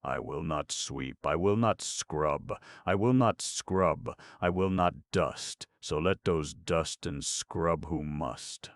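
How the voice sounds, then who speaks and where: A man voicing a haughty patchwork rag-doll girl with a shrill, defiant way of talking. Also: fairly even intonation, slow pace, few pauses, no room sound, studio quality, exaggerated voice.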